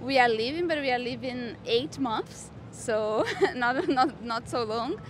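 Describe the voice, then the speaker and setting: A woman's voice: fairly high pitch, unhurried, a little hiss or hum. Woman searching for words on a busy street. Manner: searching for words, simple phrasing. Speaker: passerby. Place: busy downtown street outdoors.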